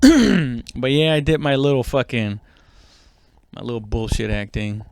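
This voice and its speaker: A man's voice: medium pitch, unhurried, vocal fry, darkish tone, clean tone, no room sound. A man giving a casual, rambling comedic monologue, self-deprecating and swearing freely. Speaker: comedian and podcast host.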